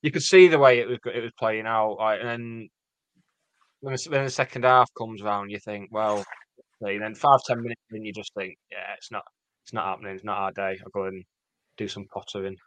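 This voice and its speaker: A man's voice: fairly even intonation, a little fast, a bit bright, no room sound, poor audio. Rambling man co-hosting. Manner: rambling, pitch jumps up and down. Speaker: podcast co-host. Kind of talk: casual chat.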